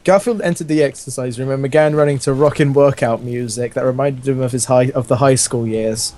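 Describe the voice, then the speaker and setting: A man's voice: medium pitch, fairly even intonation, brisk, warm tone, a little hiss or hum. A man doing a casual comic read-aloud as a host, jokey and often breaking off from the reading to riff. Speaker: host reading fan fiction aloud while gaming.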